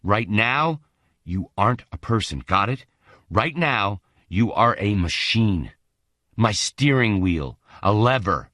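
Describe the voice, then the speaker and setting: Man voicing a curt, hard-bitten scavenger, low voice. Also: expressive, takes it slowly, bright, forceful tone, dry recording, clean studio sound, exaggerated voice. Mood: commanding. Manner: curt, commanding, gritty. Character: hard-bitten, impatient male scavenger giving orders. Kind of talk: audiobook character dialogue.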